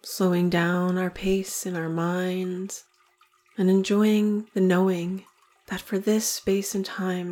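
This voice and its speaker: A woman leading a guided meditation in slow, deliberate phrases with long pauses between them. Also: lowish voice, a little mellow.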